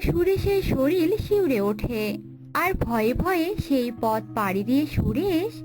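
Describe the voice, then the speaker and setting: Female cartoon narrator: high voice, scripted storytelling delivery over clear background music. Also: animated, a little slow, poor audio.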